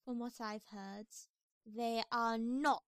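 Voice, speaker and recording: A woman's voice: a little high, fairly even intonation, slow, no room sound, studio quality. A woman playing a refined, gossipy female pony who frets over her friend in an amateur audio drama. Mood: gossipy, concerned.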